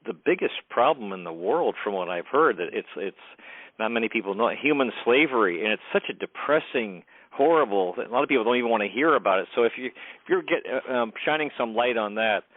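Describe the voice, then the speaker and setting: A man hosting a sports history podcast, speaking haltingly as he searches for his words. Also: mid-range voice, animated, dry recording. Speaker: podcast host. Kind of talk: casual interview talk. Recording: dry, tinny phone-like line.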